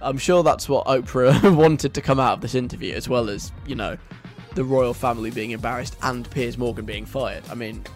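A man's voice: mid-range voice, normal speed, few pauses, soft background music. A British man hosting a radio show in a casual, chatty, wry and self-deprecating conversational way.

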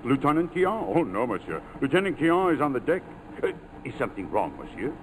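An actor playing an easygoing former doctor turned riverboat captain in a 1940s radio drama, with a hesitant manner. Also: male voice, mid-range voice, big pitch swings, a little fast, loud music behind the voice, natural voice. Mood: mild, explaining. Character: older French riverboat captain, once a doctor. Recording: noisy 1940s radio broadcast.

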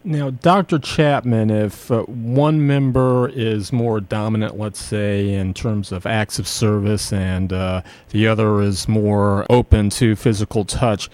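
Low-voiced guy interviewing, careful long questions, very dry mic. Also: a little mellow, no echo.

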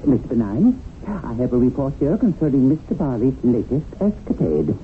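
An actor in a 1970s radio drama playing a rule-bound angelic supervisor, officious and accusing. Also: male voice, mid-range voice, very animated, moderate pace, natural voice. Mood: reproving. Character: stern, rule-bound male heavenly supervisor. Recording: tinny band-limited old radio broadcast, hissy.

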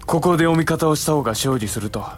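A man's voice: on the high side, fast talker, faint music behind, close to an everyday voice. An actor playing an earnest, anxious samurai younger brother and advisor in a Japanese period audio drama, formal and deferential in his questioning. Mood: awed, grave.

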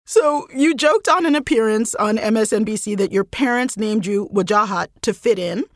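A middle-aged woman hosting a podcast, reading a scripted intro and then asking relaxed questions. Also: a little high, moderate pace, no echo. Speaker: podcast host.

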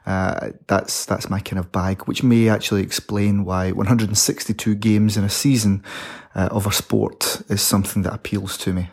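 A man's voice: lowish voice, darkish tone. A man introducing himself conversationally as a podcast co-host.

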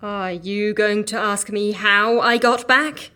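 A woman's voice: medium pitch, takes it slowly, pushed and bright, a little hiss or hum. An actress playing a weary, exasperated woman agent with a dry, emphatic delivery in an audio drama. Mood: dry, annoyed.

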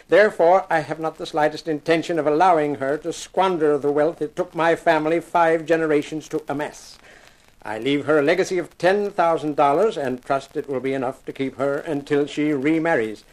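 Stuffy high-pitched lawyer, played by a man. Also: fairly even intonation, a little fast, close to an everyday voice, low-quality recording. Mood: dry, formal. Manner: formal, precise, pompous reading. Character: formal, stuffy, lean male family lawyer. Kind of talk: 1950s radio drama acting.

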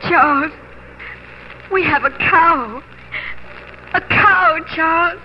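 A woman's voice: very high voice, extremely slow, a little hiss or hum, full character voice. An actress playing a devoted, easily frightened young pioneer wife and mother in a theatrical, earnest 1940s radio drama style. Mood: joyful relief. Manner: earnest, theatrical letter narration. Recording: muffled 1940s radio broadcast with music.

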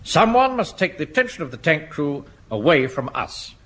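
An actor playing a bossy, pompous middle-aged English Home Guard captain in a radio sitcom, officiously giving orders. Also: male voice, on the high side, big pitch swings, normal speed, a bit bright. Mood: briskly instructing. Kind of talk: broadcast radio sitcom acting. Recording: noisy vintage radio comedy recording.

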